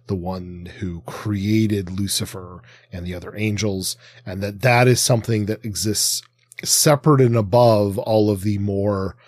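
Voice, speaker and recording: A man co-hosting a podcast in casual discussion, speaking haltingly and qualifying his points as he goes. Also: lowish voice, steady tone, darkish tone, no echo, studio quality. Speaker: podcast co-host.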